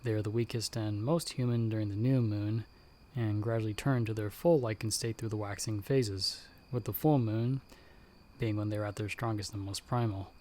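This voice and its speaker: A man playing a battle-hardened werewolf hunter in an ASMR audio roleplay, speaking directly to the listener in a measured, dryly understated way. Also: fairly low pitch, fairly even intonation, dark tone, close to an everyday voice. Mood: measured, explanatory. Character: battle-hardened male werewolf hunter with healing magic, calm mentor.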